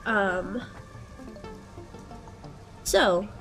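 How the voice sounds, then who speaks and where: Woman, young adult, stuffy head cold, casual game commentary, unhurried, lots of ums, faint music underneath. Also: medium pitch. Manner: relaxed, thinking aloud with frequent ums. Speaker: let's play host.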